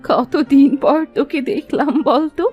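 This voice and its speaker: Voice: high voice, measured pace, darkish tone, faint music behind. A woman voicing a caring, fretful old village grandmother in a cartoon story, coaxing and affectionate. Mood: affectionate, welcoming.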